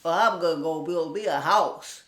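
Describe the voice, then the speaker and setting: A woman's voice: low voice, unhurried, reverberant. A woman voicing a boastful young boy piglet who speaks eagerly.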